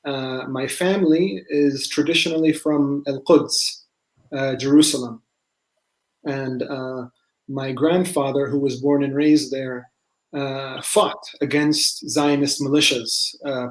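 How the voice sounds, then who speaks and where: A man, a guest, answering in a measured and courteous way. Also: mid-range voice, fairly even intonation, poor audio. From United States. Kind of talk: interview answer.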